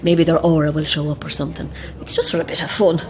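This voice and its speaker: A woman's voice: low voice, little pitch movement, fast talker, darkish tone. A woman playing a friendly, teasing photographer passenger in a naturalistic audio drama. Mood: playful.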